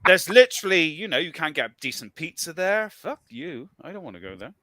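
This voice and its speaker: A man's voice: fairly high pitch, sing-song, a little fast, sharp tone, dry recording. A man with an English West Country accent, chatting and joking in a casual group talk, swearing freely and laughing often.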